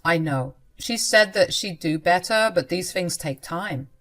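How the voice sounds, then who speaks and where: A woman voicing a young husband torn between his wife and his mother, speaking in a soft, pleading way. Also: fairly low pitch, animated, unhurried. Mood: soothing.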